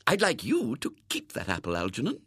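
A man's voice: high-pitched, wildly swooping pitch, a little fast, no echo, clean studio sound, voice pushed up, full character voice. A man voicing a severe, prim and gullible woman in a storybook, with a proper manner. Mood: gracious, gentle. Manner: grim and sharp, softening to gracious.

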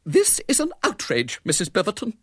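Very shrill, prim little spinster. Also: male voice, wildly swooping pitch, normal speed, fairly bright tone, husky, no echo, clean studio sound, full character voice. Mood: scathing indignation. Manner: grim, precise, scathing. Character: small, precise, prim spinster, quivering with indignation.

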